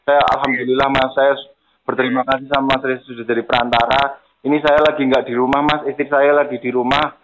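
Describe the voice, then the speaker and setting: A man's voice: mid-range voice, level tone, quick pace, crowd noise. A man making a prank phone call, talking politely and insistently, as if pleading. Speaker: prank caller. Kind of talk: prank phone call, playing a grateful victim.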